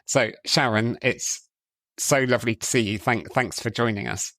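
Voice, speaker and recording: A man's voice: medium pitch, moderate pace, fairly bright tone, no room sound. A man hosting a podcast, speaking conversationally with an enthusiastic, effusive manner. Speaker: podcast host. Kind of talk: conversational podcast introduction and interview.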